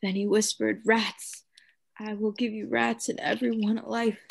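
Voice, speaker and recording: A woman playing a tormented madman in a radio play, raving and pleading in halting, repetitive phrases. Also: fairly high pitch, flat delivery, creaky, husky. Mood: dreamy raving. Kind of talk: theatrical radio play acting.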